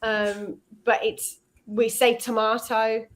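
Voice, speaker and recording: A woman's voice: fairly high pitch, little pitch movement, takes it slowly. A woman from Israel with a British English accent, chatting casually as an online English tutor over a video call, with lots of likes and ums.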